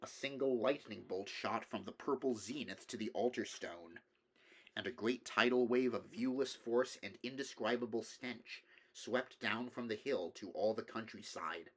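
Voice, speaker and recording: A man's voice: fairly low pitch, moderate pace. A man reading a horror story aloud as scripted narration. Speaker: audiobook reader.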